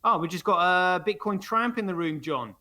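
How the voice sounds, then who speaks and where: A man's voice: high voice, expressive, moderate pace, bright, forceful tone. A man co-hosting a livestream, rambling with many fillers and restarts in casual chat. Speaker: livestream co-host.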